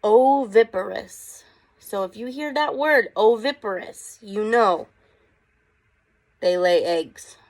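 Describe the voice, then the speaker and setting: A woman's voice: medium pitch, takes it slowly, darkish tone. A woman teacher reading aloud to children, with chatty asides and questions for her young listeners.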